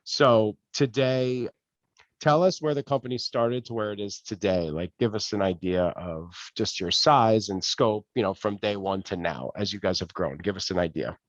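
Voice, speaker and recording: A man's voice: fairly low pitch, moderate pace, no room sound, dull audio. A man casually asking interview questions in an encouraging, affirming way.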